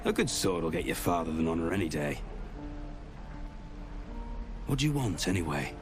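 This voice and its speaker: An actor playing a gruff, cynical mercenary rogue in a fantasy game, with a British accent and slangy, sardonic delivery. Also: male voice, low voice, big pitch swings, moderate pace, over music. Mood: gruff, wry.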